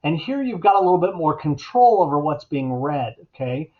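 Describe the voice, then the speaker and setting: A middle-aged man giving a casual, instructional software tutorial as an educator at his computer. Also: a little high, sing-song, moderate pace. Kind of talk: casual instructional screencast narration. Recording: dull, lo-fi computer mic sound.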